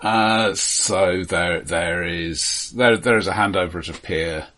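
A man casually discussing a film as a podcast co-host, with a halting delivery full of repeated words and dry, understated humour. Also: low voice, sharp tone.

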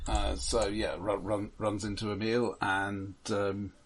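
A man with a low, husky voice talks over a film with his co-host. His points are thoughtful and measured, with the odd stammered restart. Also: flat delivery. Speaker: podcast co-host. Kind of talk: casual film discussion.

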